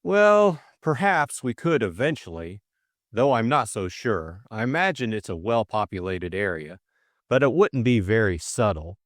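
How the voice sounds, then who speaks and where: A man voicing a breezy, reasoning young gentleman sleuth in an audiobook. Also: fairly low pitch, very animated, measured pace, no echo, clean studio sound. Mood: musing. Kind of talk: audiobook character dialogue.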